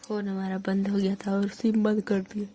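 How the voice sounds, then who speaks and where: A woman's voice: medium pitch, completely flat delivery, normal speed, warm tone, a little hiss or hum. A woman with an eastern Uttar Pradesh accent in a casual phone chat, answering in an offhand, teasing way.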